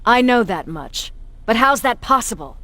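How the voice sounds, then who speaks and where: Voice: medium pitch, very animated, normal speed, sharp tone, no echo. An actor playing a stern, shrewd military commander giving orders in an action video game. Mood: stern, questioning. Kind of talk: video game dramatic dialogue.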